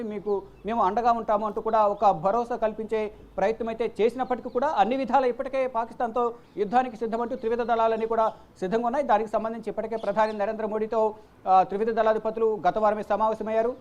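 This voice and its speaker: A woman presenting unscripted news commentary, repeating phrases and pausing on fillers as she goes. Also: on the high side, little pitch movement, a little mellow, muffled recording.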